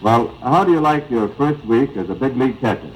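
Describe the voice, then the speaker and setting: Male American interviewer, middle-pitched, bantering through a 1930s sports radio segment with faint noise and distortion. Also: lively intonation, fairly quick. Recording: 1935 AM radio broadcast, noisy, slightly distorted.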